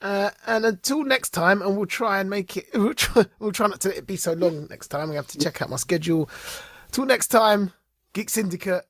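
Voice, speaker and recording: A man's voice: high voice, normal speed, dry recording, clean studio sound. A man co-hosting a podcast, chatting casually and quoting scenes playfully with laughter. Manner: lively, laughing, quotes scenes with playful emphasis. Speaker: podcast co-host. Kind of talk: casual chat.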